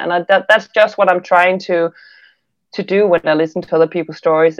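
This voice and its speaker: A woman from Denmark, English as her second language, speaks in a lowish voice with a Danish accent. She talks reflectively, often restarting her thoughts. The sound is dry and a little dull, as over a remote call. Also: no echo. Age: 30s. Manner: reflective, with frequent restarts and laughs. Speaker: guest, former Olympic swimmer. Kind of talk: casual interview conversation.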